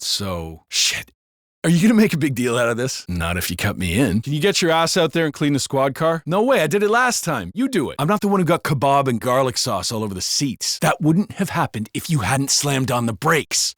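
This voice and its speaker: An actor playing a brash, sardonic, foul-mouthed American cop in a video game, with slangy delivery. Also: male voice, medium pitch, fairly bright tone, dry recording, studio quality. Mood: irritated bickering. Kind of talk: scripted video game character barks and banter.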